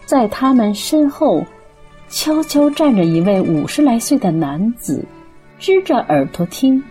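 A woman's voice: on the high side, sing-song, unhurried, warm tone. A woman narrating a radio drama in a scripted storytelling style. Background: zither music.

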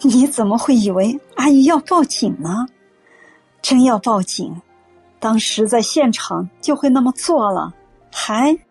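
一位女演员在广播剧里饰演和善、沉稳、宽容的中年阿姨，声音带着气声。. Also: female voice, fairly high pitch, lively intonation, measured pace, a little mellow, breathy edge, soft background music, exaggerated voice. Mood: reassuring. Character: kindly, calm, forgiving middle-aged auntie. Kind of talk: audio drama acting.

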